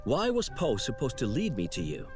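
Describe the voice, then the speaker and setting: A man's voice: mid-range voice, wildly swooping pitch, normal speed, darkish tone, faint music behind. A young male actor voicing a curious, polite apprentice angel in a game cutscene. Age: young adult.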